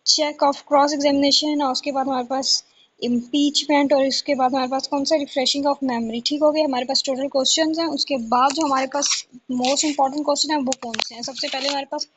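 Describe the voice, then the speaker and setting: A woman's voice: sits high, lo-fi sound. A woman tutor from Pakistan giving an informal exam-prep lesson, reading through a list repetitively and asking herself questions as she goes. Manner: repetitive, asks herself questions before answering.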